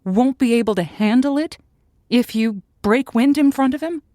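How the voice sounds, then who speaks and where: Comic call-in host: woman, slightly high register, conversational, emphatic, folksy, very dry sound. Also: moderate pace, dark tone, no echo. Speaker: advice show host.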